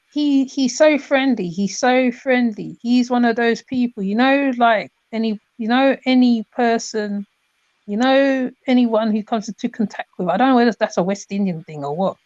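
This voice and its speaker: A woman's voice: a little high, a little slow, darkish tone, no room sound. A woman from Trinidad, chatting casually and rambling with many restarts and fillers. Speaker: host. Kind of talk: casual chat. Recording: dull lo-fi sound.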